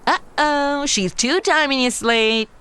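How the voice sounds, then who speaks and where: A woman's voice: high voice, slow, sharp tone, exaggerated voice. A woman playing a flippant, sarcastic young American tourist with slangy modern delivery in a comedy audio drama. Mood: wry.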